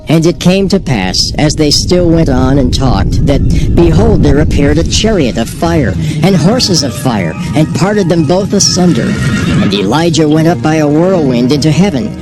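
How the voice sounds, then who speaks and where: A man narrating a dramatized scripture reading in a measured, solemn storytelling manner. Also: fairly high pitch, big pitch swings, rough recording. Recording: narration over background music.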